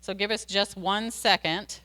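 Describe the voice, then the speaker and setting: A woman's voice: medium pitch, steady tone, unhurried, sharp tone, slight background noise. An American woman hosting a seminar series, introducing speakers in a chatty way with many fillers and restarts, speaking into a handheld microphone in a community center room.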